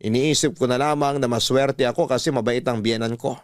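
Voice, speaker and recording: A man with a Filipino accent reading a letter as a radio-style story, in measured, deliberate phrases. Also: medium pitch, fairly even intonation, no room sound, clean studio sound. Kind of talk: first-person dramatic storytelling, reading a letter. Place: dry studio, music behind.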